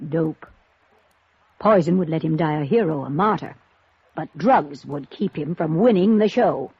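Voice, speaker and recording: An actress playing an imperious, gushing rich society woman who dotes on her dog, in a 1950s radio drama with a husky voice. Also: sits low, expressive, a little slow, full character voice. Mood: haughty, grave. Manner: gushing, haughty, insistent. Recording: muffled vintage radio recording.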